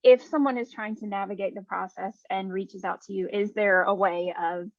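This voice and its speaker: A woman's voice: mid-range voice, steady tone, moderate pace, no echo, lo-fi sound. A woman interviewer asking short follow-up questions and affirming answers.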